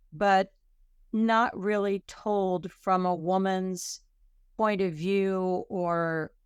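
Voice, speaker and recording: A woman being interviewed as a guest author, talking thoughtfully and often pausing to restart a sentence. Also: lowish voice, clean tone, no room sound, studio quality. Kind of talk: casual interview talk.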